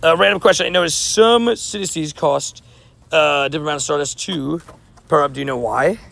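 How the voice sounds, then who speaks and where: A man live-streaming outdoors, chatting casually with viewers and answering their chat messages, with a slightly hoarse voice. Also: fairly high pitch, a little fast, fairly bright tone, dry recording. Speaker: livestream host. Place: outdoors by a street with traffic.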